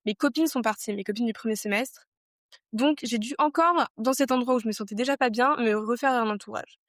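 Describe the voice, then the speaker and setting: A woman's voice: a little high, fairly quick, no room sound, clean studio sound. A woman in her twenties from France, a podcast guest talking things over casually and reflectively, with many fillers and restarts. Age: 20s.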